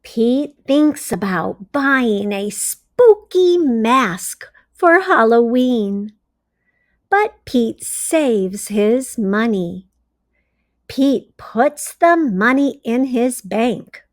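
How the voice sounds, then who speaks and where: Slow, high-voiced woman reading to children. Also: no room sound, studio quality. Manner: deliberate, simply phrased delivery for young children. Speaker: read-aloud storyteller for early readers. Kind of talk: children's picture-book read-aloud with questions to listeners.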